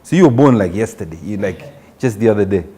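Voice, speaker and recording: A man's voice: fairly low pitch, expressive, fast talker, dark tone, husky. A man hosting a conversational talk show interview, informal, asking follow-up questions and dropping in encouraging interjections.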